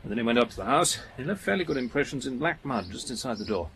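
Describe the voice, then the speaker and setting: An actor playing a methodical, earnest police detective in a British radio drama, speaking in a careful, matter-of-fact way. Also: male voice, medium pitch, animated, fast, gravelly, a bit bright. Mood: explaining, earnest.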